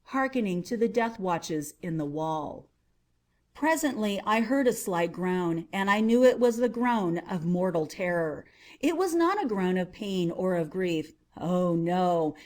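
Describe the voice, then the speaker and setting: A woman's voice: medium pitch, a little mellow, lightly acted. Woman as theatrical, cunning mad murderer. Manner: theatrical, emphatic, drawn-out repetitions. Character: unnamed mad murderer narrator, cunning, insistent on sanity. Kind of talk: dramatic horror audiobook narration.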